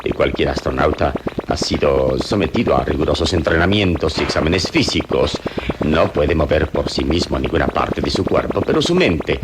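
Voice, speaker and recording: A man playing a calm, wise hero and mentor in an old-fashioned radio serial, speaking in a measured, solemn, explanatory way. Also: extremely low pitch. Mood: solemn explaining. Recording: very noisy old radio recording.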